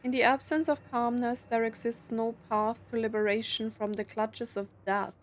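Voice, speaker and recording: A woman's voice: a little high, fairly even intonation, normal speed, a little hiss or hum. A woman game streamer casually commentating and reading game text aloud as she plays.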